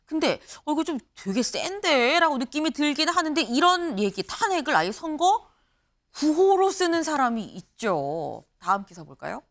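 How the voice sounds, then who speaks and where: A woman's voice: high-pitched, normal speed. A woman anchoring a morning political talk show, moderating panelists and reading out quotes. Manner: lively, reads out quotes and hands over to panelists. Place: broadcast studio.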